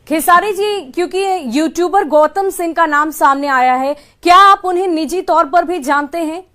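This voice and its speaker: A woman's voice: very high voice, moderate pace, fairly bright tone. A woman working as a news reporter, putting formal questions to an interviewee.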